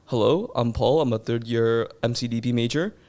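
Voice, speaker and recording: A man's voice: medium pitch, normal speed, darkish tone. A man in his twenties who grew up partly in China, chatting casually on a student podcast in a joking, easygoing way. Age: 20s. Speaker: podcast guest. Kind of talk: casual chat.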